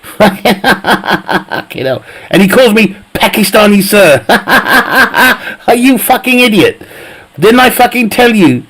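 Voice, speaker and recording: A man's voice: high-pitched, sing-song, slow pace, a bit bright, slightly rough. A man chatting casually with his live stream viewers, swearing a lot and laughing often at his own jokes. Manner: profane, jokey banter, laughs often. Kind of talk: casual chat with viewers while gaming.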